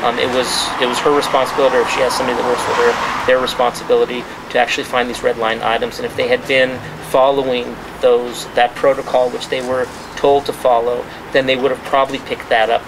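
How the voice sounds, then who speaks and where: A man's voice: mid-range voice, little pitch movement, fast talker, hardly any pauses. Emphatic man holding a press conference. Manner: repeats words and restarts, emphatic. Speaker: journalist giving a press conference. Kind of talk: spontaneous press-conference talk. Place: restaurant. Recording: clear background noise.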